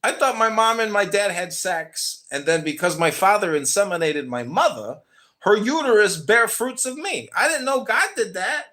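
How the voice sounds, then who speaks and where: A man's voice: high voice, big pitch swings, moderate pace, pushed and bright. Sarcastic American man feigning ignorance. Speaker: podcast host. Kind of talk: provocative mock-naive questioning.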